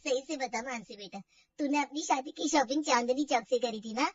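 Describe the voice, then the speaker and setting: A woman's voice: high voice, a little fast, husky, no echo, far from the mic, lo-fi sound. A woman voicing a teasing, bargain-loving older aunt figure in a family drama story, exclaiming and mock-complaining.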